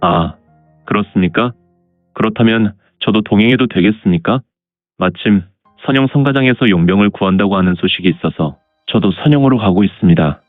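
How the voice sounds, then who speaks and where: A courteous young wandering swordsman, acted by a man speaks in a lowish voice, formal and composed. It is martial arts audio drama, with dulled sound and music behind. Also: animated, a little fast, no room sound, narrow, tinny audio, lightly acted. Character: polite handsome young wandering male mercenary swordsman. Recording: band-limited, music underneath.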